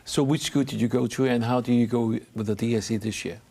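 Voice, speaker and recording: A man's voice: mid-range voice, fairly even intonation, brisk, warm tone, husky. A man hosting a television talk show, presenting and putting questions to guests in a studio interview.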